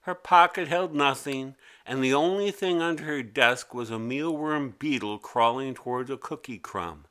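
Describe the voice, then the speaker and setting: A man narrating a scripted children's story in an expressive, deliberate way. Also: mid-range voice, smooth. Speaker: author narrating his own children's story.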